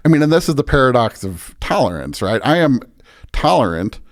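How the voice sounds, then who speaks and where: A man's voice: mid-range voice, sing-song, a little fast. A man speaking as a pastor and podcast guest, reflecting earnestly and conversationally, often restarting and checking points with "right?". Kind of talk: conversational reflection on faith and ethics.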